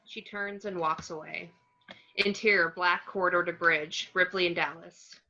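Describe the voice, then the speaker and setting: Lowish, bright-edged woman narrating a script. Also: steady tone, moderate pace. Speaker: narrator of action descriptions. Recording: dull, lo-fi home recording.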